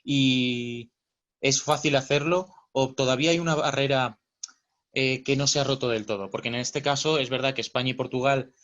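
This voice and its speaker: A man's voice: medium pitch, steady tone, normal speed, a bit bright. Guy interviewer, kinda muffled lo-fi audio, lots of eh. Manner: hesitant, many fillers. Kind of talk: interview questions.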